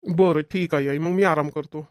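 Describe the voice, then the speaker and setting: A man's voice: on the high side, fairly even intonation, normal speed, dry recording, clean studio sound, natural voice. A man voicing village sons and husbands in a cartoon comedy, with a rural Vidarbha Marathi accent. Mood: dutiful. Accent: Maharashtrian, rural Vidarbha Marathi. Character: village men, dutiful sons and put-upon husbands.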